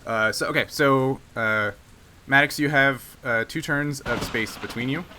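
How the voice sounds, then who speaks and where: Bright-voiced man running a tabletop game. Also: medium pitch, unhurried. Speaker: game master. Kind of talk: casual tabletop game narration.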